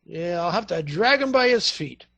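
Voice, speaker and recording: Man playing an excitable, creaky-voiced cop. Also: sits high, normal speed, noisy background. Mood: amazed, brisk. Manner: exclamatory, colloquial. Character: excitable, scrappy policeman. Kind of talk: stage comedy acting for radio theatre.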